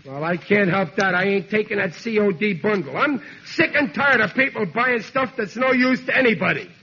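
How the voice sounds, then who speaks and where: Blustery high-pitched radio dad. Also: male voice, normal speed, bright, forceful tone, breathy edge, heavily acted. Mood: indignant. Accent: American. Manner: grumbling, indignant, ungrammatical working-man talk. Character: blustery, stubborn, slow-witted working-class family father. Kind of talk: 1940s American radio comedy acting. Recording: dull vintage radio recording, hissy.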